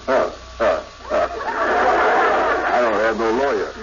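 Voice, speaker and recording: Amorous simple-minded sitcom man, lowish voice, folksy bluster, 1950s radio comedy, heavy noise. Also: lively intonation, slow. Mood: smitten, flirtatious. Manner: folksy, ungrammatical, hesitant bluster. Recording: noisy, dull vintage radio recording.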